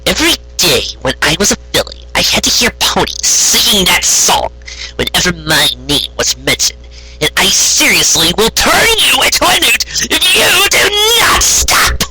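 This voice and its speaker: A man's voice: sits high, big pitch swings, normal speed, pushed and bright, slightly rough, soft background music, voice pushed up, heavily acted, low-quality recording. A man voicing a grumpy, imperious young female unicorn magician in a haughty, insistent manner for a story reading. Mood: indignant, threatening.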